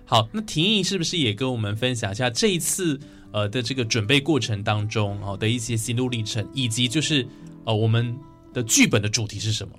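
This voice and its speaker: A man's voice: medium pitch, lively intonation, a little fast. A man with a Taiwanese Mandarin accent hosting a conversational interview, asking questions and summing up answers. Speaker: radio show host. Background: soft guitar music.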